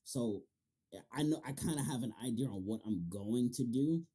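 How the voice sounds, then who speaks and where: A man with a very dark, relaxed voice hosts a casual chat. His pitch sits in a middle range for a man. He talks loosely, full of slang and curse words. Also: moderate pace, breathy edge. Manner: slangy, casual, swearing freely. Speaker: podcast interviewer and manga reviewer.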